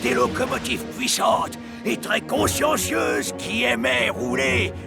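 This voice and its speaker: A man voicing a boastful, excitable old steam locomotive with a theatrical, rousing manner in a cartoon dub. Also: a little high, slow pace, creaky, full character voice. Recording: music behind the voice.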